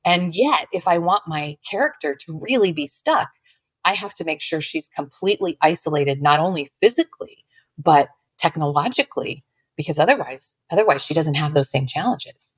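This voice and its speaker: Woman, lower-pitched, articulate and earnest author with an expressive reader's delivery, dry sound. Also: normal speed, slightly rough, dry recording. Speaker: author, podcast guest. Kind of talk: expressive book reading and conversation.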